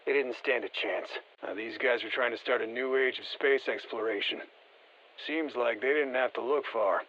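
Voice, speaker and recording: An actor playing a seasoned, wry special forces operative in a video game, speaking matter-of-factly through a radio filter. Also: male voice, mid-range voice, moderate pace, fairly bright tone, muffled recording, a little hiss or hum. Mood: sober, matter-of-fact. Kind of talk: scripted game voice lines, field commentary.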